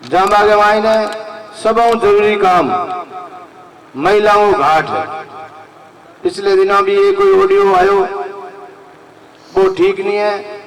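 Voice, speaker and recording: A man's voice: high voice, sing-song, takes it slowly, vocal fry, long pauses. A man with a Rajasthani accent giving an emphatic public speech to a community gathering, making repeated appeals. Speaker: community association leader addressing a gathering. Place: large fair gathering. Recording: distant microphone amid an open crowd.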